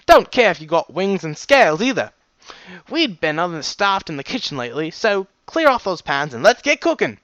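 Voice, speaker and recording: Jolly, brawny stallion cook played by a man, high and forceful, hearty storybook character with a jumbled British, Southern US and Swedish accent. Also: very animated, moderate pace, no echo, highs cut off. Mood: hearty, approving. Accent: muddled mix of British, American Southern and Swedish. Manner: exclamatory, friendly, hearty.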